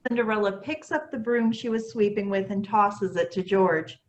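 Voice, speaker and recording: A woman's voice: mid-range voice, normal speed, dull audio. A woman reading stage directions as a scripted narrator.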